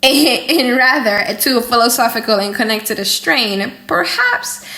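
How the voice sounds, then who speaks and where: A woman reading an audiobook in a theatrical, expressive and slightly playful style. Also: fairly high pitch, measured pace. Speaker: audiobook reader. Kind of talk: dramatic, slightly silly audiobook narration. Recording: echoey room.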